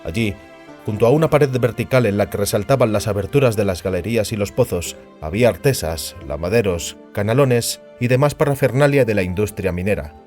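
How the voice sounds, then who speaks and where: A man's voice: fairly low pitch, a little fast, a little mellow. A man narrating a fantasy novel in a scripted, literary audiobook style. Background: music.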